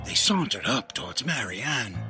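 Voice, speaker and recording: A man's voice: medium pitch, sing-song, normal speed. A man narrating a comedic audio drama in a bumbling, blustering way. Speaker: narrator and lead character. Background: background music.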